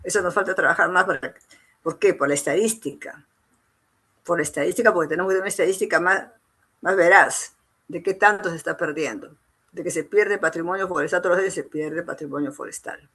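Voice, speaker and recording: A woman's voice: fairly low pitch, fairly quick, a bit bright, husky. A woman with a Peruvian accent giving an informal lecture as an instructor, repeating phrases for emphasis and adding short tag questions. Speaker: engineer giving a training lecture.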